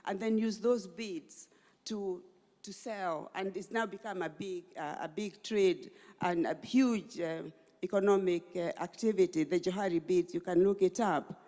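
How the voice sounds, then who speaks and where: A woman's voice: on the low side, measured pace, dark tone. A woman speaking as a panelist in an earnest way, with hesitant fillers. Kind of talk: panel discussion. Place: large conference hall stage. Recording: long hall reverb.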